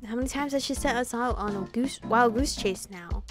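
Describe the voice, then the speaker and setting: A woman's voice: a little high, a little fast. A young adult woman streaming a visual novel, reading the text aloud with casual, slangy reactions. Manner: informal slangy asides. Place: indoor room, webcam setup. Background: game music.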